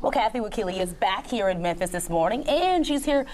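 A woman's voice: medium pitch, expressive, brisk, darkish tone, slight background noise. A woman hosting a morning TV segment, introducing a guest in a studio kitchen on a lapel mic. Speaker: TV morning show host. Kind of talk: broadcast host chat.

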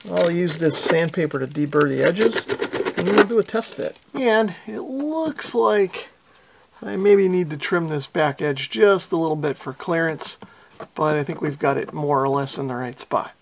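A man's voice: high voice, lively intonation, normal speed, creaky, slight background noise. A middle-aged American man hosting a hobby how-to, talking in an easygoing, self-deprecating, casual way. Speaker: host of a radio hobby channel.

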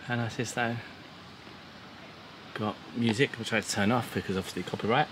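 Male vlogger, low pitch, casual playful chat, recorded outside with clear background noise. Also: steady tone, brisk. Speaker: vlog host. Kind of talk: casual vlog narration. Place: outdoors by a river and boat. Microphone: handheld camera, operator behind it.

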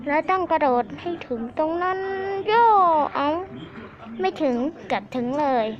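A woman's voice: high voice, expressive, normal speed. A woman improvising playful role-play over game play as a boy character, in a childlike, exclamatory way. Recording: dull lo-fi audio, loud background voices.

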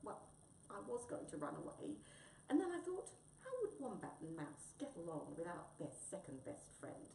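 A woman's voice: lowish voice, wildly swooping pitch, normal speed, dark tone. Tabby tomcat, acted by a woman: proud, conceited, easily offended, grand theatrical huff, roomy recording with faint noise. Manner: haughty, huffy, theatrical. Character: proud, conceited, indignant male tabby cat.

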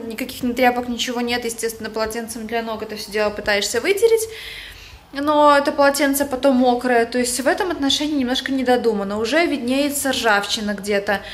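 A young woman giving a casual, chatty hotel tour while filming with a phone held at arm's length, with plenty of fillers and self-corrections. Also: fairly high pitch. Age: young adult. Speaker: travel agency vlogger touring a hotel. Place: indoors in a hotel room and outdoors on resort grounds. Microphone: phone camera at arm's length.

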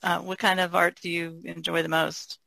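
Bright, creaky-voiced woman chatting. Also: on the low side, level tone, normal speed, no echo. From Chesapeake Bay region, United States. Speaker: painter being interviewed. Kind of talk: casual chat.

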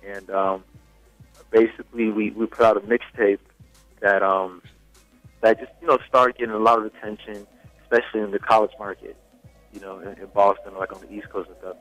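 Young man, first language swedish, slightly low voice, relaxed and rambling, dry studio sound, faint music behind. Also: brisk, long pauses, dry recording, poor audio. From Sweden. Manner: laid-back, many fillers like "you know". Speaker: singer guest. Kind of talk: casual interview chat.

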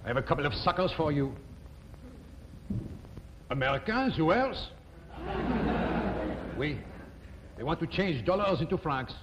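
A sly French swindler who oozes politeness. His voice sits high and swoops around. The old TV soundtrack is muffled, with faint hiss. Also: animated, a little slow, pauses often. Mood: scheming. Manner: oily, exaggerated politeness. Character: smooth-talking French con man, sly and ingratiating. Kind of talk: 1950s sitcom acting.

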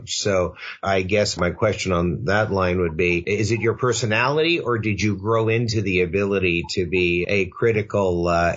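A man who is a lawyer co-hosting, asking long, measured, winding questions. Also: sits low, dull audio. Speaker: lawyer, co-host. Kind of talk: interview questions.

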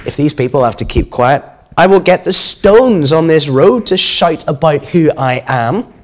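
A firm, assured teacher from a children's storybook, voiced by a man. He speaks with measured emphasis and a very lively pitch range. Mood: firm, indignant.

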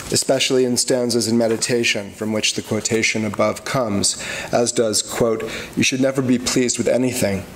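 A middle-aged man reads poetry aloud from the page at a podium microphone in a lecture hall. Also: medium pitch. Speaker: poet, faculty reader. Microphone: gooseneck podium microphone.

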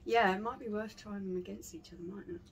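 A middle-aged woman talks casually through a review. Her voice has an average female pitch. Noticeable background noise surrounds her in a small bar setting. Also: steady tone, quick pace. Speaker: beer reviewer. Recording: clearly audible background noise.

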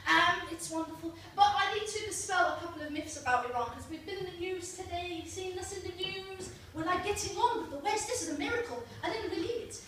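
A woman's voice: very high voice, fairly quick, hardly any pauses, fairly bright tone, a little hiss or hum. A young woman doing a stand-up comedy set on a small club stage, chatty and teasing the crowd, on a handheld mic filmed from the back of the room. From Britain. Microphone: handheld mic filmed by a far camera. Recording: echoey club from far off.

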